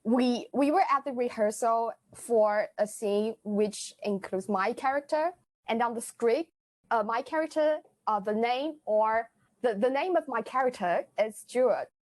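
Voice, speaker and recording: A woman's voice: fairly high pitch, unhurried, dry recording. A woman in casual podcast chat, giggling and searching for words as she tells a story. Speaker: theatre group member.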